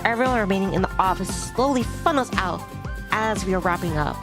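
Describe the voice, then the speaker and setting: A woman's voice: medium pitch, brisk. Woman narrating a visual novel over music. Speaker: VTuber playing a visual novel. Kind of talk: expressive read-aloud narration of a visual novel. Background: background music.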